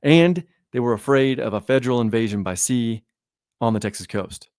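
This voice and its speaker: A man's voice: medium pitch, expressive, moderate pace, darkish tone, dry recording, studio quality. A man who is sick and losing his voice, talking through history in a rambling, self-correcting way during a casual podcast interview. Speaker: guest historian.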